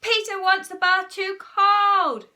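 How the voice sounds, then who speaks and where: A woman voicing a horrid, bossy, scheming older brother in a children's story, loudly demanding and complaining. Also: on the high side, animated, unhurried, pitched above the performer's own voice. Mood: screeching. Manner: demanding, loud, screechy complaints.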